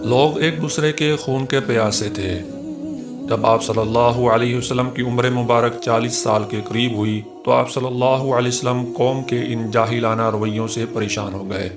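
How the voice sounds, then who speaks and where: A man reading a scripted religious history narration in a formal, reverent manner. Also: mid-range voice. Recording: music behind the voice. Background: music.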